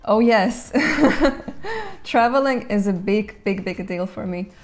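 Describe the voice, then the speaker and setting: A young woman from Latvia, a native Russian speaker, answering viewers' questions in English while seated in a bright room. She talks in a thoughtful, easygoing way with light laughs now and then. Her voice is picked up close by a clip-on mic. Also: on the high side, slow pace, soft background music. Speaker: language channel host. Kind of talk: casual Q&A to camera. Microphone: lapel mic.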